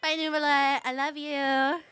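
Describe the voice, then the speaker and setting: A woman's voice: sits high, level tone, a little slow, fairly bright tone, faint music behind. A woman game streamer giving casual, playful, gushing live reaction commentary.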